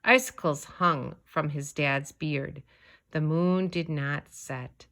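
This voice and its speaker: A woman reading a children's story aloud, narrating expressively in deliberate, measured phrases. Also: low voice, steady tone, fairly bright tone. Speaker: storybook reader.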